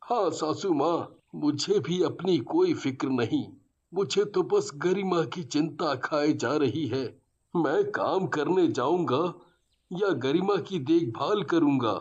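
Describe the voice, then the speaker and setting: A man playing a gentle, doting, anxious father in a storybook tale. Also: fairly high pitch, expressive, slow, breathy edge, full character voice. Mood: sorrowful. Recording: slightly dull, lo-fi audio.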